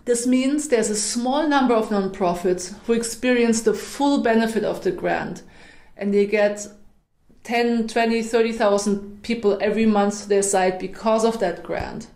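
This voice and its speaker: A woman's voice: fairly high pitch, normal speed, darkish tone. German-accented woman presenting a webinar. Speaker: nonprofit consultant, webinar presenter.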